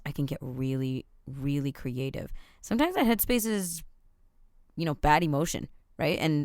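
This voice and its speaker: A woman hosting a podcast, chatting in a casual, upbeat way with quick asides; her first language is Tamil. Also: low voice, a little mellow, dry recording, studio quality. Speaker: podcast host.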